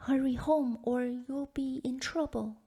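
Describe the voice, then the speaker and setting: A woman's voice: high voice, fairly even intonation, measured pace, dark tone, slightly rough, voice pushed up, heavily acted. A woman voicing a polite, brave young girl from a folktale, meek and kind. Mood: reassuring.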